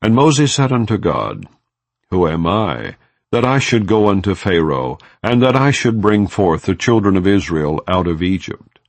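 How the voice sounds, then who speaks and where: Deep male audiobook narrator reading bible verses, solemn n formal, voice moves up and down a lot, dry close sound. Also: sing-song, a little slow, no room sound. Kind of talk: non-dramatized scripture reading, scripted narration.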